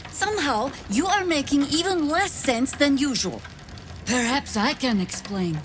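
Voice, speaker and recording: A woman voicing an old, sharp-tongued warrior in a video game, scornful and commanding in manner. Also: sits high, lively intonation, unhurried, background noise.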